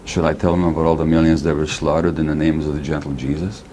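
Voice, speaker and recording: An older man from Hungary, speaking as a therapist in an interview clip, measured and critical. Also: a bass voice, flat delivery, mellow, a little hiss or hum.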